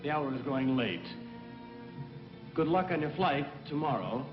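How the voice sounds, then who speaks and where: An actor playing a courteous, determined spaceship captain in a dubbed old sci-fi film, speaking formally. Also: male voice, mid-range voice, animated, normal speed, natural voice. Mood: courteous, earnest. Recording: roomy, muffled old film soundtrack, music.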